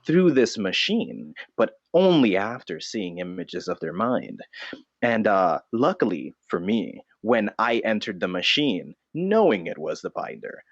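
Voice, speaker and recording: A man voicing an earnest, self-serious vigilante notebook disguised as a bat, speaking in solemn, halting, grandiose fashion in an improvised comedy interview. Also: lowish voice, huge pitch swings, gravelly, full character voice. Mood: grave recounting. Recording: dull, roomy lo-fi sound.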